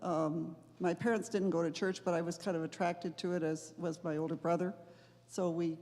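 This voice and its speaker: A woman's voice: on the low side, flat delivery, moderate pace, darkish tone. A woman from Canada introducing herself in a class, chatty with wry asides. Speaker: visiting class participant. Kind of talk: casual self-introduction. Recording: long church-hall reverb.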